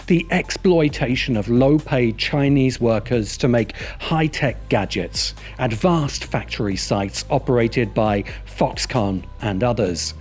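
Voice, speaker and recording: A man's voice: medium pitch, darkish tone. A man reading a scripted video essay narration in a sardonic, opinionated manner. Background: music behind the voice.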